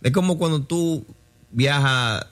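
A man's voice: a little high, takes it slowly. A man with a Dominican accent talking casually in a group discussion about faith, telling stories and asking rhetorical questions. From Dominican Republic.

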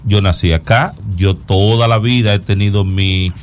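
Low-voiced Dominican man commenting on news. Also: fairly even intonation, normal speed. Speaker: talk show host commenting on news.

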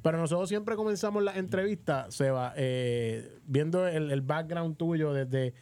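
Higher-voiced Puerto Rican man hosting radio. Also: a little slow, a little mellow. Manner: chatty, repeats words as he phrases questions. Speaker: radio show host and interviewer. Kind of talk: casual sports interview. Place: radio booth.

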